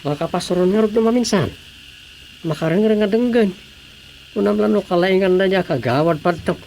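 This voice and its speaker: A man's voice: sits high, moderate pace, vocal fry, a little mellow, slight background noise. A man playing a gossipy, grumbling villager in a radio comedy drama, with an Ilocano Filipino accent.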